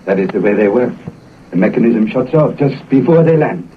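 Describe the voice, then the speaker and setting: A man's voice: medium pitch, lively intonation, normal speed, natural voice. A man acting a shrewd, world-weary Belgian cab driver with a Belgian accent in a 1940s radio drama. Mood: ominous, knowing. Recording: noisy, muffled, distant old radio recording.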